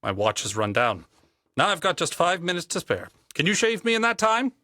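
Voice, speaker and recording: A man's voice: high voice, big pitch swings, fairly quick, sharp tone, no echo, studio quality, exaggerated voice. An actor playing an impatient, brusque and self-important businessman in an audio play. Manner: brusque, demanding, boastful. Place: professional recording studio.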